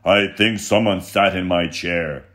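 A man voicing a huge father bear who booms his lines. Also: expressive, takes it slowly. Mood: gruff. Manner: loud and booming.

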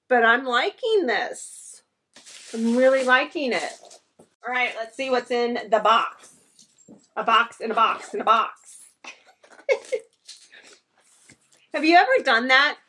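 A woman in her thirties talks to the camera from her living room while she unboxes home decor. Her voice is bright and a little high, and she takes her time, gushing over each piece. The sound comes from a camera set across the room. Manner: chatty and enthusiastic, gushing over items. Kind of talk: casual unboxing chat to camera.

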